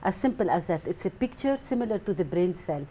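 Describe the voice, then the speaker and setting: Woman, mellow voice. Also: fairly low pitch, animated, brisk. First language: Arabic. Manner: earnest, explains with repetition. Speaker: guest, mind mapping coach. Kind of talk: TV studio interview.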